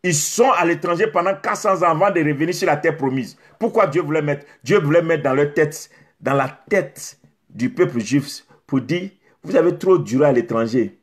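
A man's voice: on the high side, normal speed, slightly rough. A middle-aged man, preaching a sermon straight to the camera, exhorting with rhetorical questions and emphatic repetition. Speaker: pastor. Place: indoors in front of a beach backdrop.

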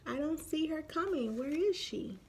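A woman's voice: high-pitched, expressive, moderate pace, a little mellow, slight background noise, voice pushed up. A woman voicing an anxious, impatient baby llama boy from a storybook, whiny and plaintive as he wants his mama. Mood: worried, impatient.

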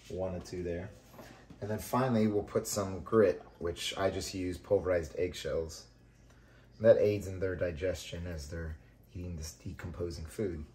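A man with a deep voice narrates a how-to lesson in an easy, conversational way. His tone is dark and soft rather than bright, and he explains each step plainly while working through the task. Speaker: vermicomposting channel host. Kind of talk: hands-on tutorial narration.

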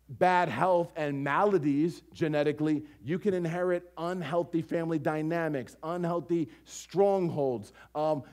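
A man's voice: on the high side, dark tone. An American man preaching a sermon as a pastor, emphatic, leaning on repetition and rhetorical questions. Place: church stage at a lectern, indoors.

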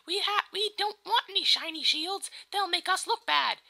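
Someone doing a very high, dim pirate girl. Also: normal speed, creaky, pushed and bright, no echo, studio quality, full character voice. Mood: reluctant, annoyed. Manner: casual, slangy, oblivious. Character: dim, breezy young pirate girl captain. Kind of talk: amateur parody dub of a video game.

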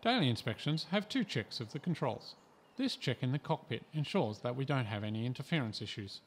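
Calm man narrating a training video. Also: mid-range voice, a little hiss or hum. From Australia. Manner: calm, measured and instructive. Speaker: instructional narrator. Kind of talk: scripted training voice-over.

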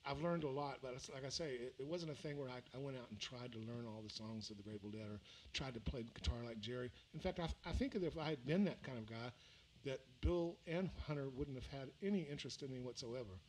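Brief: older Southern-raised male musician, loose rambling stage banter, mid-pitched voice, faint room hum behind. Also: quick pace, hardly any pauses, low-quality recording. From Mississippi, raised in Louisiana. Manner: rambling, relaxed, full of fillers and restarts. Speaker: musician performing a livestream. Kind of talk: casual between-song chat. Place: small music venue, indoors. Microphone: vocal mic on a stand.

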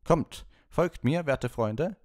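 A man's voice: on the high side, lively intonation, slow, a little mellow, no room sound, clean studio sound, voice pushed up. A man voicing a bombastic, gallant painted knight for an audiobook, proclaiming pompously in antique phrases. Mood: eager, rallying. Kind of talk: audiobook character voice.

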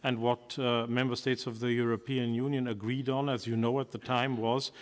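Older guy on a panel, talks slow and careful, flat tone, echoey big hall. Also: medium pitch. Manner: measured, careful, with frequent pauses and fillers. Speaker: conference panelist. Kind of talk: formal panel answer. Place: large conference hall, seated at a desk. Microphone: desk microphone. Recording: long hall reverb.

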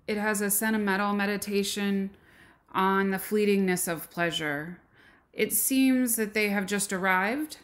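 A woman giving a recorded art history lecture over slides, pausing often with ums. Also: medium pitch. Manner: slow, with frequent ums and pauses.

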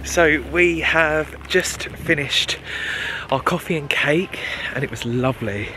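A man's voice: a little high, slow pace, pushed and bright, slight background noise, poor audio. A young man with an English accent talking enthusiastically and casually to a camera held at arm's length while out and about. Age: young adult. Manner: enthusiastic, chatty. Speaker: travel vlogger. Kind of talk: casual vlog chat to camera. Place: outdoors in castle grounds and parkland, some inside a shop. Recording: music playing behind the voice.

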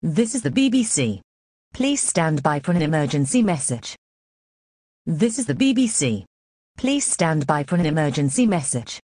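Low-pitched synthetic female emergency announcer. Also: sing-song, a little mellow, husky, no room sound, clean studio sound. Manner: measured, impersonal, synthetic delivery. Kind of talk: scripted emergency broadcast reading.

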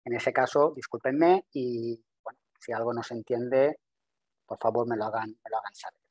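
A man in his thirties presents a webinar over a video call in a language that is not his first. He talks quickly, often restarting and repeating words as he goes. His voice sounds dry and close, at an ordinary pitch. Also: fairly even intonation, darkish tone, dry recording. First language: not Spanish. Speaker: webinar presenter, systems engineer. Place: home office with virtual background. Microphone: video call webcam.

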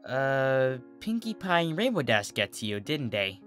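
A narrator voicing a calm, wry, bookish female cartoon pony with a matter-of-fact manner. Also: mid-range voice, measured pace, soft background music.

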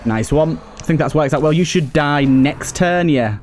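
A man's voice: fairly high pitch, moderate pace, darkish tone, slight background noise. A British man giving casual let's play commentary, thinking aloud and addressing the characters on screen as he goes.

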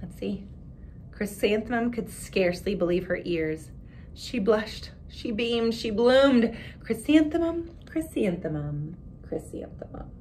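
A woman in her thirties reading a picture book aloud expressively like a teacher, with casual questions to children. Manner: animated storytelling, pausing to ask children questions. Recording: clear background hiss and low rumble.

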